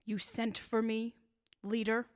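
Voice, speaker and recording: A woman playing an embittered elderly scientist in a radio drama, measured, calm and dryly sardonic. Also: on the high side, smooth, no echo, studio quality. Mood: composed, resigned. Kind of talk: 1930s-style radio drama acting.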